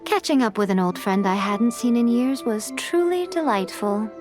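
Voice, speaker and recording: Woman acting sly, elegant shrine priestess, lightly high. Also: expressive, normal speed, lightly acted. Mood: playful, amused. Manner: poised, playful, refined. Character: sly, elegant, teasing shrine priestess, worldly and self-assured. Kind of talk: video game cutscene acting and narration. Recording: music behind the voice.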